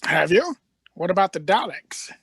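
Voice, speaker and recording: Radio play: eccentric time traveler played by a woman, husky, halting, slyly evasive. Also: lowish voice, animated, fairly bright tone, no room sound, exaggerated voice. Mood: thoughtful. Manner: halting, musing. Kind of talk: live script reading, radio play.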